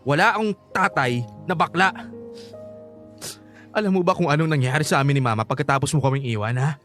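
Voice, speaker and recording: A man's voice: on the high side, brisk, fairly bright tone, faint music behind, lightly acted. Bitter, hot-headed young Filipino son played by a man. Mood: bitter, taunting. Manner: confrontational, sarcastic, taunting. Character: bitter, hot-headed grown son scorning his father. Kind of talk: Filipino radio drama acting.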